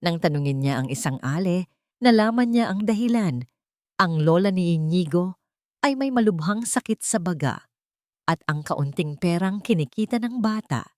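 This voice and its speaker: A woman with a lowish voice reads a dramatic story as its narrator. She delivers it in measured, emotive phrases, setting each one apart. The sound is dry, with hardly any room around the voice. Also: darkish tone, no room sound.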